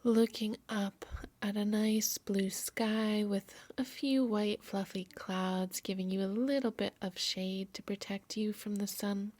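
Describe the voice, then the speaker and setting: Slow, soft-spoken woman guiding meditation. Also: mid-range voice, dry recording. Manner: soft, soothing, measured delivery. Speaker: yoga nidra instructor. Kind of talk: guided meditation narration.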